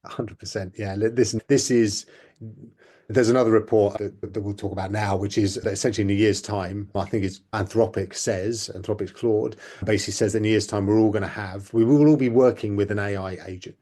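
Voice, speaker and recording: A man co-hosting a podcast, talking with quick, emphatic enthusiasm. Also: lowish voice, steady tone, mellow. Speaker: podcast co-host. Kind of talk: casual chat.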